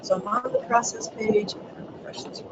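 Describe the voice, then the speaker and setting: A webinar presenter walking listeners through training materials, with a soft voice that does not carry, pausing often with ums. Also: mid-range voice, fairly even intonation. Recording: loud background noise on a webinar mic.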